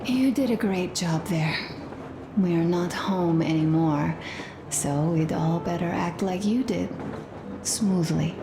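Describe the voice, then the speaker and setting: Gentle young wife, soft-spoken, unhurried, musing, game drama, echoey noisy room. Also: female voice, on the low side. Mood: tender, reflective. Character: gentle, thoughtful young wife in a post-apocalyptic world. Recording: echoey room with clear background noise.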